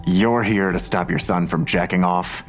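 An actor playing a middle-aged, beaten-down police detective, curt and insistent, in an audio drama. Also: male voice, sits low, steady tone, moderate pace, music in the background. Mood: curt, impatient. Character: beaten-down, blunt male police detective, greying. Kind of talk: naturalistic audio drama acting.

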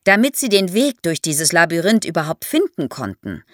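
A woman speaking as an expert podcast guest, explaining things vividly and in clear order for young listeners. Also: lowish voice, very animated, moderate pace, dry recording, studio quality.

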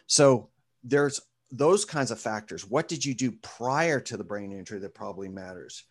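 A man as an interview guest and author, answering with lively storytelling and speaking to a group. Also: fairly low pitch, normal speed.

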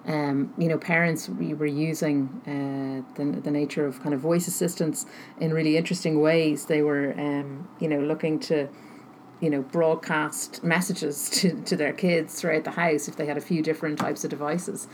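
Low-pitched woman, halting and thoughtful. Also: level tone, a little mellow, rough recording. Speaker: interview guest, technology researcher. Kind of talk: thoughtful interview answers. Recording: noticeable background noise.

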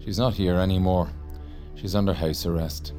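Audio fiction role: actor playing low-pitched male interrogator, condescending, quietly menacing, faint music beneath. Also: fairly even intonation, close to an everyday voice. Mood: calm, condescending. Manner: measured, condescending. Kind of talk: naturalistic audio fiction acting.

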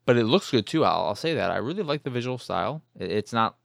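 A man's voice: mid-range voice, animated, quick pace, vocal fry, no room sound, studio quality. An American man from Chicago hosting a game podcast, talking casually in a rambling, sweary, self-amused way. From Chicago, United States. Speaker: video game podcast host. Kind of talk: casual solo game review talk.

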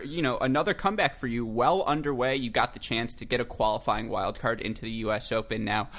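A man in his twenties hosting a sports podcast, talking in a chatty, self-deprecating way with quick asides. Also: on the low side, fairly bright tone. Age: 20s. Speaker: podcast host. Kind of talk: casual sports podcast interview.

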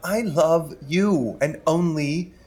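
A man's voice: fairly high pitch, a little mellow. An actor playing a flustered songwriter pleading with his partner. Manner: pleading, slow.

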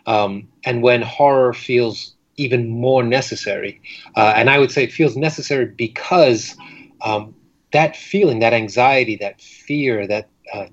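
Man, interview guest, mid-pitched voice, reflective, halting delivery, dull lo-fi recording. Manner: halting, reflective, with frequent pauses. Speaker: guest fiction writer. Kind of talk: thoughtful interview conversation.

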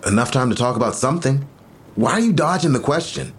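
An actor playing a touchy, quietly suspicious male lover in naturalistic audio drama dialogue, intimate and pointed. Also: medium pitch, animated, fast, a little hiss or hum, close to an everyday voice. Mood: defensive, probing.

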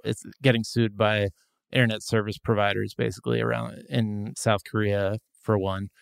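A man hosting a podcast, chatting casually and telling stories with a wry, relaxed manner. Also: on the low side, normal speed, creaky, clear, resonant tone, dry recording, clean studio sound. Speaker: podcast host. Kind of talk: casual chat.

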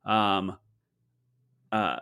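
A man's voice: low-pitched, very slow, creaky, bright, forceful tone, clear, resonant tone. Man, podcast co-host, casual sports analysis, dry wit, opinionated, lots of fillers.

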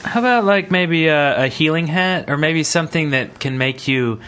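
A man co-hosting a gaming podcast in casual banter, talking in an excitable, jokey way. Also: on the high side, normal speed. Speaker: podcast co-host.

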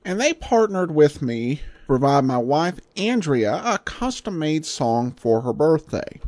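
A man's voice: on the high side, a little mellow, no echo. An American man hosting a podcast, reading introductions and sponsor messages. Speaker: podcast host.

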